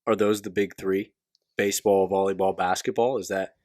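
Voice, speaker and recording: A man's voice: low voice, fairly even intonation, moderate pace, darkish tone, dry recording, studio quality. A man hosting a podcast, asking questions in a laid-back way full of fillers. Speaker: podcast host. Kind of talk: casual interview hosting.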